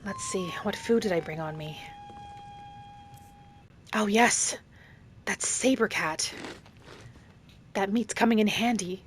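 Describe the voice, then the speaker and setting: A woman narrating a fantasy game roleplay in character as a gentle young wood elf, with an unhurried, musing delivery. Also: mid-range voice, slight background noise. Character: gentle young female wood elf traveler, earnest and principled.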